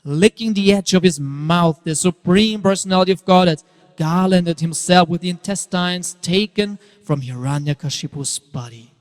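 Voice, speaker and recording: A man narrates scripture as drama, with a slightly high voice and emphatic, theatrical phrasing that swells and drops for effect. His voice rings in a long hall reverb. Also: normal speed.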